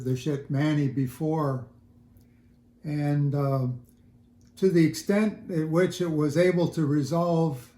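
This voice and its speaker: Older man, mellow voice, roomy echo. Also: a little high. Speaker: interviewed audiophile hobbyist. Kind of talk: casual interview chat. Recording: roomy echo, faint music.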